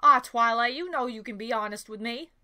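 A woman's voice: on the high side, fairly quick, sharp tone. A woman voicing a friendly, hardworking cartoon farm-girl pony in a homely, earnest way.

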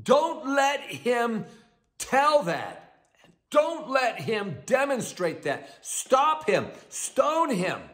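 A preacher acting out the devil, urgent and high. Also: male voice, sing-song, extremely slow, fairly bright tone, soft background music, full character voice. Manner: urgent, commanding repetition. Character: the devil, urgent and scheming male spirit.

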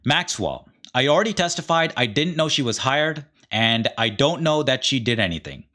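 A man's voice: medium pitch, expressive, moderate pace, bright, forceful tone. A middle-aged man hosting his own show, reading a transcript aloud and adding sarcastic, indignant commentary from an indoor room. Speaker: online commentator, show host. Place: indoor room in front of a green screen.

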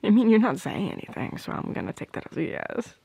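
A woman playing a tender, protective, teasing girlfriend in an ASMR roleplay, murmuring intimately in soft whispers close to the microphone. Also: mid-range voice, huge pitch swings, fast talker, no room sound, full character voice. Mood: playful, teasing. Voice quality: breathy, whispery.

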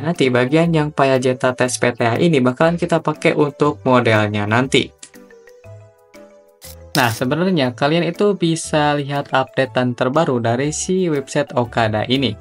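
Young male tutorial host, chatty and informal, mid-range voice over background music. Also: medium pitch. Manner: chatty, slangy, step-by-step instructing.

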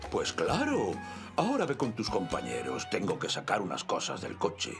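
Cartoon grandpa: elderly Castilian Spanish soccer coach, encouraging, very animated delivery, gravelly edge. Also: male voice, lowish voice, normal speed, music in the background, full character voice. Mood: jovial. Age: older. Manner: encouraging, storytelling. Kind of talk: animated cartoon dub acting.

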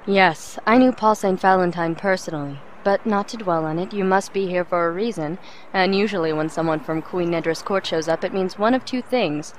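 A woman playing a tough, brisk fighter and mentor, blunt and commanding, in a fantasy audio drama. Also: lowish voice, a little hiss or hum, close to an everyday voice. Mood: brisk, probing.

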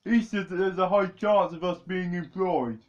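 Figure: a smug, quietly threatening villain, done by a male reader. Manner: calm, low-key menace. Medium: storybook. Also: normal speed, lo-fi sound, natural voice.

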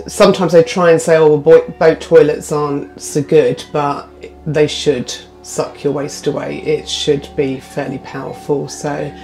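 A woman's voice: low voice, little pitch movement, measured pace, darkish tone, faint music behind. A woman in her forties, vlogging casually to camera from inside a boat, chatty with frequent ums. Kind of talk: casual vlog talk to camera. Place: inside a narrowboat, small room. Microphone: phone camera at arm's length.

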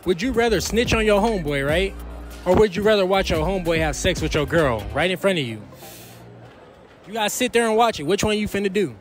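A man's voice: high-pitched, expressive, fairly quick, soft background music. A young man interviewing strangers in a shopping mall, teasing them and laughing often, talking in heavy slang. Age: young adult. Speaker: street interviewer. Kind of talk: playful public interview. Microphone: handheld phone held out.

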